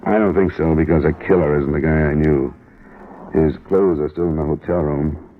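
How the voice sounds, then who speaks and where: A man's voice: very deep voice, fairly even intonation, moderate pace, slight background noise. A male actor with an American accent playing a terse, world-weary investigator in an old-time radio detective drama, clipped and pressing. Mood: resigned. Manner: clipped, pressing, matter-of-fact. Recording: tinny old radio recording, music.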